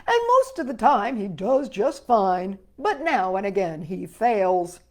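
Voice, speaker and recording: A woman acts out a big-talking railroad gang man from a storybook poem. The delivery is drawn-out and declamatory, swinging widely in pitch. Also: big pitch swings. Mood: boastful. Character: Big Barney Zang, boastful male railroad gang worker. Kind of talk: dramatic children's poem recital.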